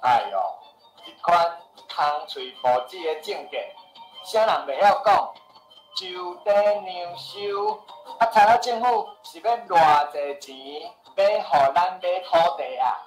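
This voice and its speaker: A grumbling, skeptical Taiwanese house seller in a radio drama. His voice sits fairly high and he argues with scoffing exclamations. The room echoes and faint music plays behind. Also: big pitch swings, slow, exaggerated voice. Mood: dismissive. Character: skeptical, boastful, grumbling male house seller and neighbourhood boss. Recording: roomy echo with music behind.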